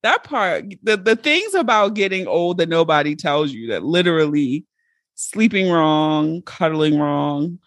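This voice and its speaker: A woman in her thirties hosts a podcast, talking frankly and expressively. Her pitch swings widely through her sentences, and she sounds close and dry on the mic. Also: dry recording. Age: 30s. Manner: expressive, frank and quick to laugh. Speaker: podcast host. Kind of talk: casual chat.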